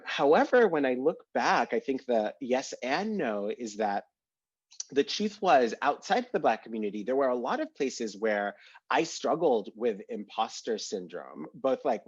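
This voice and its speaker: A man's voice: medium pitch, breathy edge, no room sound. A young man from Kenya speaking earnestly as an alumni panelist on a video call, with many "like" fillers. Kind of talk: candid reflective panel answer. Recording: dull dry video-call audio.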